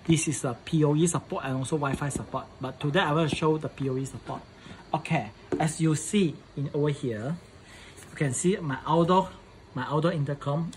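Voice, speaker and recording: A man presenting a casual hands-on setup tutorial in halting English, often repeating his phrases. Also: fairly high pitch, noisy background.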